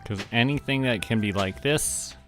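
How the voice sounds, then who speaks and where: A man from Canada giving casual, relaxed commentary as he streams a game, often talking to himself. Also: mid-range voice, moderate pace. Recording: music behind the voice. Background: music.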